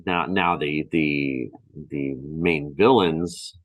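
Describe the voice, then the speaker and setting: Male co-host, deep voice, dry recording, loose conversational delivery with halting pauses. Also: dry recording. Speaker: board game show host. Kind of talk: casual chat.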